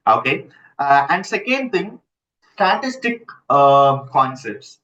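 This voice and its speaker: A man giving a live online lecture, halting and repeating himself as he checks in with listeners, using his computer's built-in mic. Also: mid-range voice, big pitch swings, bright, forceful tone. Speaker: instructor. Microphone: built-in computer mic, no headset. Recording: dull lo-fi computer audio.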